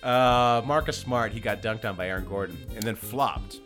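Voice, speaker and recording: A man's voice: fairly low pitch, moderate pace, a bit bright, soft background music. A man hosting a casual comedy podcast, stumbling over words and often laughing at his own jokes. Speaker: podcast host reading jokes and narration.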